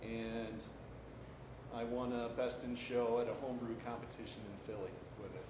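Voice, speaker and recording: Middle-aged male panelist, craft brewer, halting and self-deprecating, echoey and noisy live recording. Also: mid-range voice, flat delivery. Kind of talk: casual panel talk. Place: indoor room at a live panel event. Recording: echoey room, clearly noisy live stream.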